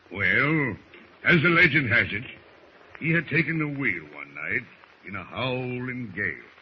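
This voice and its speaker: An actor playing a salty old first mate with folksy nautical talk, in the manner of 1940s radio drama. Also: male voice, on the low side. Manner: folksy nautical talk, slow storytelling with hesitations. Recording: muffled noisy old radio recording.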